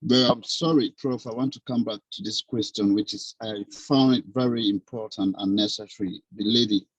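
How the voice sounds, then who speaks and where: A man from Cameroon taking part in an online session, speaking politely and deliberately as he asks a question. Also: on the low side, fairly even intonation, slightly rough, dry recording, dull audio. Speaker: participant. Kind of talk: question on a video call.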